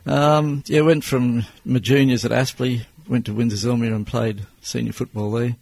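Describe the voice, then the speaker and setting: An Australian man in his sixties with a heavily gravelly, husky voice. He speaks in a flat, low tone, giving plain-spoken, measured answers as a business owner in an interview. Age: 60s.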